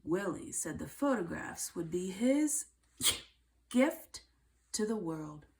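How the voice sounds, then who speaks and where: A woman reading a children's book aloud in a measured way, with deliberate phrasing and pauses. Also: fairly low pitch, very animated, dark tone. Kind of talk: read-aloud storybook narration.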